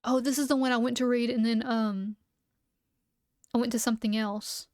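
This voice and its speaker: A woman streamer with a fairly high voice reads entries aloud and then chats casually between them. She sounds slightly hoarse, as if she has been talking for a long time. The close mic at her home setup keeps the sound dry. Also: fairly even intonation, normal speed, a little mellow, no echo. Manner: skips text with "blah, blah" asides. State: losing her voice. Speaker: livestreamer.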